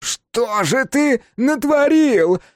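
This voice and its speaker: A male narrator performs a furious, frantic man in a horror audiobook. The voice is pushed very high and cracks as he shouts shrill accusations, close and dry. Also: lively intonation, drawn-out words, no echo, full character voice. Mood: furious, desperate. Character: Kapustin, frantic, enraged man with breaking voice.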